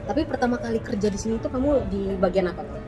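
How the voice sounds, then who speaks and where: Chatty woman vlogger over loud music. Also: mid-range voice, animated, rapid-fire. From Sumatra, Indonesia. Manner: chatty, laughs often, many fillers. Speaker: vlog host. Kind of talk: casual interview chat. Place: restaurant.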